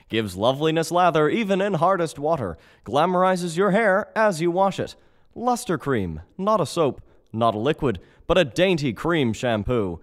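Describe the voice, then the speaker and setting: An actor playing a smooth, emphatic announcer in an old-time radio commercial. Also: male voice, a little high. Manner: salesy, emphatic. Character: smooth radio announcer selling shampoo to women.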